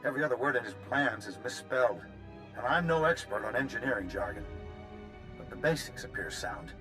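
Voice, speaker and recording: Guy as a serious rebel leader in a sci-fi game, earnest, medium pitch, music going behind him. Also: animated, brisk, close to an everyday voice. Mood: measured. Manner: earnest, grave. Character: grave, indignant male resistance leader. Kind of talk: scripted game acting.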